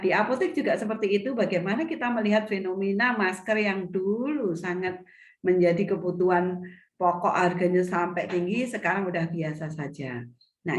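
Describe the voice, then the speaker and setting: A middle-aged woman lectures a seminar from a video call. Her voice sits low and is a little hoarse from a cough. She explains patiently and often restates her points, and the call audio carries a roomy echo. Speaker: retail business mentor.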